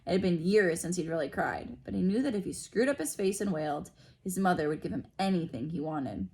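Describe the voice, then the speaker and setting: A woman's voice: on the low side, expressive, a little fast, a little mellow. A woman reading a children's story aloud expressively, like a school principal, with explanatory asides.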